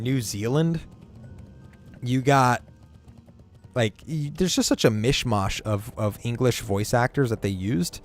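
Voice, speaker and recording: A man streaming a game, chatting casually and giving rambling, opinionated takes with plenty of swearing. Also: medium pitch. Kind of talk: casual gaming stream chat.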